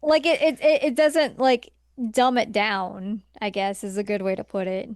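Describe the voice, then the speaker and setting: A woman's voice: medium pitch, steady tone, moderate pace, no room sound, studio quality. A woman co-hosting a podcast, chatting informally and agreeably, peppering her talk with "like". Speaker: podcast co-host. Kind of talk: casual chat reviewing movies.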